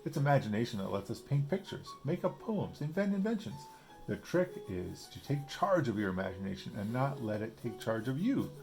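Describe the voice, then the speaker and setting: Man playing a kindly, lively papa bear. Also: medium pitch, fairly quick, soft background music. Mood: encouraging. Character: big, kindly, reassuring father bear. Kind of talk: picture book read-aloud.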